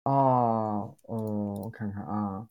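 A woman's voice: a bass voice, fairly even intonation, extremely slow, a little mellow, clean tone. A woman chatting casually and informally as a guest in a group livestream. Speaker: guest on audio drama livestream. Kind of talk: casual chat.

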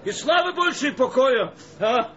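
An actor playing an exasperated, sarcastic conductor who scolds emphatically in a radio play. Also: male voice, extremely high pitch, expressive, moderate pace, sharp tone, exaggerated voice. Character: exasperated, sarcastic male conductor bullying his orchestra. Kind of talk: theatrical radio play acting. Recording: muffled radio play recording with music.

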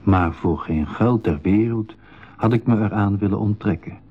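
A man playing an apprehensive safari member in a radio play. His voice is low and husky. He tells the story in a literary, scripted way. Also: moderate pace, lo-fi sound, close to an everyday voice. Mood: measured narrating. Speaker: narrator and member of the expedition. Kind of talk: scripted radio drama narration.

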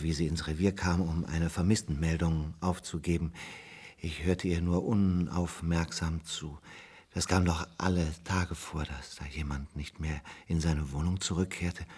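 Weary old man, husky and low. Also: darkish tone, exaggerated voice. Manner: halting, slow, pensive recollection. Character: weary old former police officer, reflective, haunted. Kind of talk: German radio play acting.

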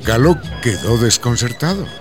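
An actor narrating a radio soap as its storyteller, in a dramatised scripted style. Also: male voice, mid-range voice, big pitch swings, normal speed, close to an everyday voice. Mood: wry storytelling. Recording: music behind the voice.

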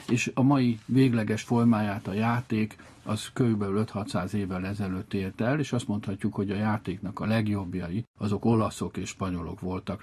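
Male interviewee, husky and fairly low, formal explanatory delivery, measured and wordy. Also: darkish tone. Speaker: interviewed chess organiser.

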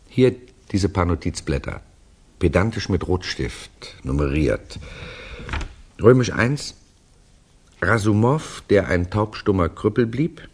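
A man acting as a wry frame narrator in a 1960s German radio drama, reading out notes with emphatic comments in a husky voice. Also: sits low, exaggerated voice. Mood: matter-of-fact.

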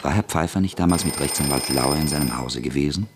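A man narrating a radio drama in a measured, even way. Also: a bass voice, background noise. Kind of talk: scripted narration.

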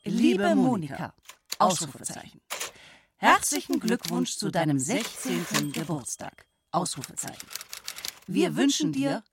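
An actress playing a prim, opinionated aunt in a radio play, formally dictating a letter with its punctuation. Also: female voice, on the low side, sing-song, measured pace. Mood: prim, earnest. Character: prim, opinionated aunt writing to her niece. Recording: clear noise with clicks behind.

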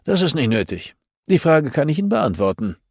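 A man's voice: on the high side, very animated, brisk, dry recording, pitched above the performer's own voice. A male narrator plays a domineering older police chief in an audiobook thriller. He growls in short, commanding questions, as if conducting an interrogation, and the sound is close and dry. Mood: interrogating.

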